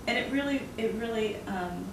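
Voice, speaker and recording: A woman's voice: on the high side, moderate pace. Woman author, earnest and reflective, laughs easily; distant mic, roomy, noisy room. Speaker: author interviewed about her novel. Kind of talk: casual interview conversation.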